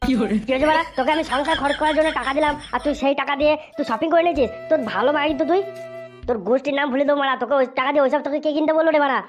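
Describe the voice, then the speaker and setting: A woman's voice: high voice, racing, soft background music, close to an everyday voice. A woman voicing a bullying, foul-mouthed husband in a comedy cartoon, ranting and threatening with a West Bengal accent. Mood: furious, threatening. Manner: ranting, threatening, repeats himself. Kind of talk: amateur cartoon comedy squabbling.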